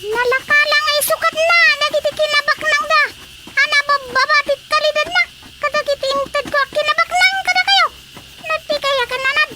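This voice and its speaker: An actor voicing a tiny, bossy creature of an underground kingdom in radio comedy, with a squeaky, childlike voice and a commanding manner. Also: steady tone, normal speed. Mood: urgent. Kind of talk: radio comedy drama acting. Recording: loud noise behind the voice.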